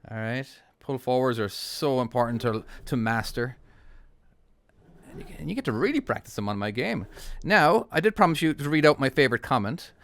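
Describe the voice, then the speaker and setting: A man's voice: mid-range voice, expressive, normal speed, vocal fry, a bit bright, slight background noise. A man with an Irish accent hosting his own channel, chatting casually and laughing often while narrating a truck-driving game. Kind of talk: casual gameplay commentary.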